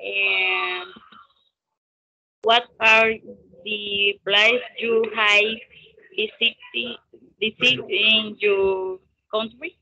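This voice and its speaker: A woman in her twenties with a Latin American Spanish accent, a learner practicing scripted English conversation haltingly on a video call. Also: medium pitch, fairly even intonation, sharp tone. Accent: Spanish-speaking Latin American. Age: 20s. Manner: halting, careful word-by-word delivery. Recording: dull lo-fi video call audio.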